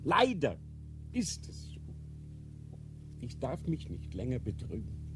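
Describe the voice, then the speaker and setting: An actor playing an aged, ailing Grand Inquisitor in a radio drama, solemn and halting, declaiming with remorse and fervor that rises to outcries. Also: male voice, medium pitch. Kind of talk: German radio drama acting.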